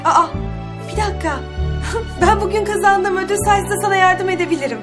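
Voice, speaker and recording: A woman's voice: very high-pitched, normal speed. A young woman playing a kindly good fairy in a children's fantasy TV drama. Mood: cheerful, gentle. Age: young adult. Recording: loud music under the voice.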